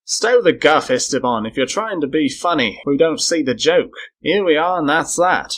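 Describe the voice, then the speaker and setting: A man's voice: on the high side, expressive, moderate pace, fairly bright tone, pitched above the performer's own voice. A man voicing a brash, impatient, irritable adventurer in a storybook reading, blunt and exclaiming. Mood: exasperated.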